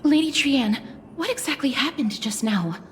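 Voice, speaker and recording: A woman playing a gentle, solemn and polite young woman in a fantasy video game, soft-spoken and measured in a formal way. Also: sits high, expressive, big-room sound, a little hiss or hum. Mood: composed. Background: background music.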